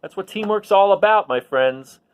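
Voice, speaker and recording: A man's voice: high-pitched, animated, normal speed, clear, resonant tone. A man reading a picture book aloud expressively, emphasizing key words and adding chatty asides to his listeners.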